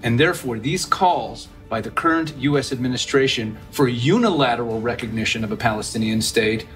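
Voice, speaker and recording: A man joining an interview to add a measured, precise argument. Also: medium pitch, fairly bright tone, soft background music. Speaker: interview panelist. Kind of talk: interview commentary.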